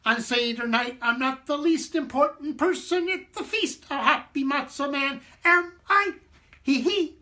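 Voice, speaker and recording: A man voicing a tiny, excitable, weepy matzo man from a children's storybook, squeaky, stammering and prone to whimpers and giggles. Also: unhurried, full character voice. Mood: gleeful singsong.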